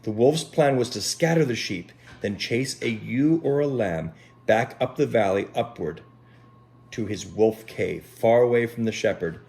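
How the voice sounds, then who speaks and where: A man reading a children's story aloud in an expressive, dramatic way, pausing to speak directly to young listeners. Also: fairly low pitch. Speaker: Sunday school storyteller. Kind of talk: animated children's story read aloud with asides. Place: at home.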